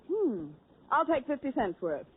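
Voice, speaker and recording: A woman guest star giving wry comebacks in a 1940s radio comedy. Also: high-pitched. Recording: lo-fi 1940s radio broadcast with hiss.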